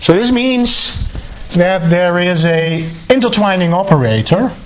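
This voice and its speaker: Guy lecturing, kinda high voice, halting and repeats himself, thin phone-like audio w a bit of noise. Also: lively intonation. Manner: deliberate, halting, repeats and rephrases words. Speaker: university mathematics lecturer. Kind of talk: lecture, explaining step by step. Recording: tinny phone-like band, noise, clicks.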